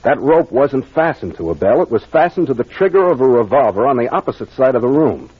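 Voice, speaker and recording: Confident detective captain, American, 1940s radio mystery style, animated pitch, muffled old recording. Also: male voice, husky. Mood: assured, explanatory. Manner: deliberate, authoritative, explanatory. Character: confident young male detective captain explaining a case. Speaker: actor playing the investigator.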